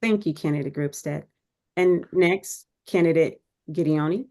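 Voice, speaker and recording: A woman moderating a candidate forum, reading the rules and introductions in a measured, formal, scripted way. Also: deep voice, a little mellow.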